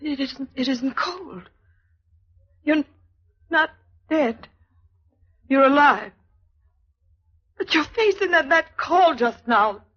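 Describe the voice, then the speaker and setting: An actress playing an anxious, devoted middle-aged housewife, fretful and pleading, in 1940s radio drama style. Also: female voice, high voice, expressive, takes it slowly, pauses often. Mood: shaken, bewildered. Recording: muffled, noisy vintage radio recording.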